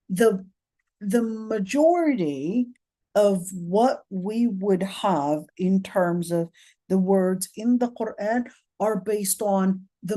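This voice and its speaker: A woman's voice: mid-range voice, mellow. A middle-aged woman teaching a lecture on Quran commentary, explaining step by step and often checking in with "okay?". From Palestine. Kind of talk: classroom lecture.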